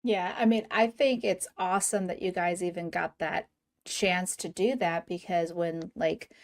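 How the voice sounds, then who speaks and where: A woman's voice: fairly low pitch, steady tone, measured pace. Bubbly woman podcast host. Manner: bubbly, laughs often, enthusiastic. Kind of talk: casual fan interview.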